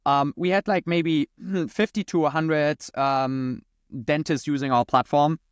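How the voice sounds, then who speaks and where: Higher-voiced man with a German accent. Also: fairly even intonation, unhurried, clear, resonant tone, dry recording, studio quality. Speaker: podcast guest, company founder and CEO. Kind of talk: conversational interview answers.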